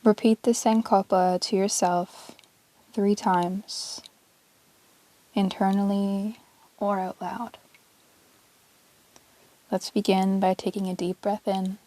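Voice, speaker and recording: A woman teaching yoga, guiding a relaxation meditation in a calm, measured, soothing way with long pauses between short phrases. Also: medium pitch, poor audio. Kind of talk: guided yoga nidra meditation, relaxation narration.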